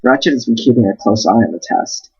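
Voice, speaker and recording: A man's voice: fairly low pitch, expressive, a little fast, creaky, a little mellow, slightly rough, dull audio. A man reading a storybook aloud as host, with wry, playful asides.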